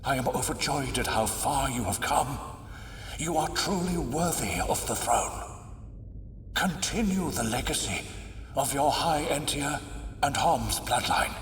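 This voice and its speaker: An actor playing an aging emperor in a video game, grave and regal, speaking in a stately, formal manner. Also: male voice, medium pitch, expressive, measured pace, fairly bright tone, slight background noise. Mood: proud, tender. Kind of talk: dramatic video game cutscene acting.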